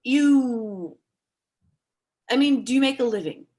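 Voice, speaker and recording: A woman acting a blunt, nervously polite character in a naturalistic stage reading, with a halting, dry, tactless way of talking. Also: on the high side, animated, darkish tone. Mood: probing, awkward. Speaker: amateur or local theatre actor.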